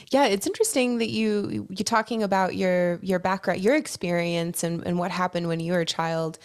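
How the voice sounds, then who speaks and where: A young woman hosts a podcast interview in a casual, chatty voice that sits a touch low. She often drops "like" between her words. Her tone stays loose and conversational. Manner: casual, chatty, uses fillers like "like". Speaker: podcast host.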